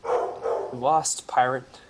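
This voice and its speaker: A man's voice: medium pitch, steady tone, drawn-out words, noisy background. A man playing a brave young fighter pilot with an earnest, urgent, commanding delivery. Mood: defiant.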